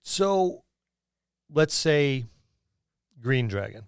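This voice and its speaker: A man with a medium-pitched voice co-hosts a gaming podcast. He talks in a loose, halting way, as if thinking aloud. The sound is close and dry, with no room around it. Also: sing-song, clear, resonant tone, no room sound. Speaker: podcast co-host. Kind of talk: casual chat about tabletop gaming.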